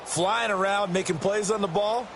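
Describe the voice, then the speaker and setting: A man's voice: sits high, steady tone, moderate pace, creaky. A man with an American accent playing an ex-player football analyst in a video game, explaining plays emphatically. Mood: keen, analytical. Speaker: color commentator, former player. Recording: loud game crowd noise behind voice. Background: stadium ambience of a football video game.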